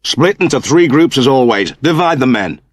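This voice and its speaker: A man's voice: medium pitch, expressive, moderate pace, no echo, pitched above the performer's own voice, lightly acted. A man voicing a solemn, intense male rebel leader with formal, declamatory speech in an audiobook. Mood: commanding.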